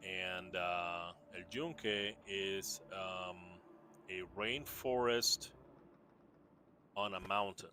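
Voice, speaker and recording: Slow, deep-voiced laid-back man. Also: fairly even intonation, sharp tone, a little hiss or hum. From Puerto Rico. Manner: rambling, laid-back, many fillers and restarts. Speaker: gamer showing off character builds. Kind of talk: casual game showcase chat.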